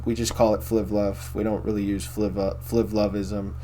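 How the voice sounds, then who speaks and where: A man with a baritone voice hosting a casual philosophy monologue, rambling and repeating himself with many fillers. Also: flat delivery, moderate pace, dark tone, slight background noise. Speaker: host.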